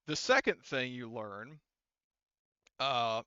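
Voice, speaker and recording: Casual male game master: mid-pitched, smooth, loose improvised narration, informal and sweary. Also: big pitch swings, takes it slowly, pushed and bright, no room sound, muffled recording.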